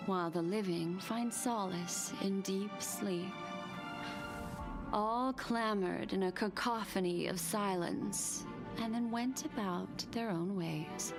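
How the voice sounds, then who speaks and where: A woman playing a calm, enigmatic storyteller in a video game, narrating in a soothing, deliberate, poetic manner. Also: medium pitch. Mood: solemn, poetic. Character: calm, enigmatic female storyteller guiding a dreamer. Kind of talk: scripted dramatic game narration. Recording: echoey long reverb, music behind. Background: music.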